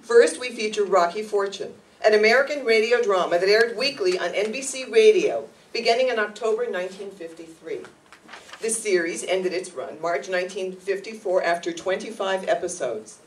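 A woman's voice: medium pitch, normal speed. A middle-aged woman presenter reading scripted narration to introduce a radio play to an audience in a room. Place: meeting room stage, indoors. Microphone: far camera. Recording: distant camera mic, background noise.

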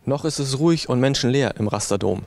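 Middle-aged male sports reporter, mid-pitched. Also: brisk, creaky, a little mellow, no room sound. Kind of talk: scripted sports news report.

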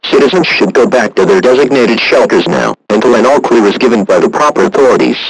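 A synthetic man's voice reading a formal scripted emergency warning in a flat, impersonal manner. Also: medium pitch, quick pace, vocal fry, noisy background. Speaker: emergency alert announcer.

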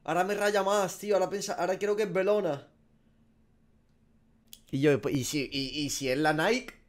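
A man's voice: high voice, moderate pace. A man in his twenties with a Spanish accent from Spain, a streamer casually reacting aloud with slang and swearing. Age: 20s. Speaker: gaming streamer. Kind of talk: casual reaction commentary over a quiz. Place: small room at a desk, indoors.

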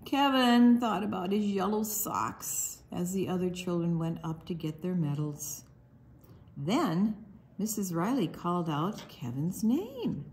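Slow-paced woman reading a picture book. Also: lowish voice, animated, a little mellow, slight background noise. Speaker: storytime book reader. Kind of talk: children's picture book read-aloud.

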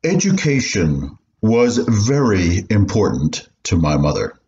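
An actor playing a wry elderly man haltingly recalling his schooldays in a radio play. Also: on the low side, big pitch swings, darkish tone, heavily acted. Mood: reminiscing. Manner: halting, reminiscing. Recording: muffled, roomy, music underneath.

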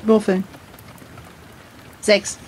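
A woman playing through an adventure gamebook, chatting casually and playfully with a comically dramatic, expressive manner. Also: medium pitch, drawn-out words, a little hiss or hum. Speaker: co-host, player of an adventure gamebook.

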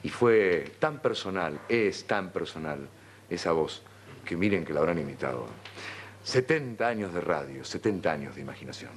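A man's voice: low-pitched, animated, normal speed. A man in his forties with a Rioplatense accent, hosting and chatting casually in a television studio. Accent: Rioplatense Spanish. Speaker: television host. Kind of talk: casual live hosting chat.

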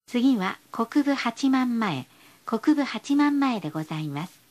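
A woman's voice: on the high side, big pitch swings, a little slow. A woman reading scripted bus stop announcements in a polite, formal, carefully enunciated way.

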